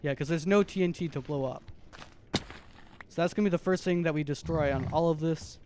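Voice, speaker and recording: A man with a very high voice giving casual, chatty live stream commentary as he plays a video game. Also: fairly quick, a little hiss or hum. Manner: chatty, self-narrating while playing, easily animated.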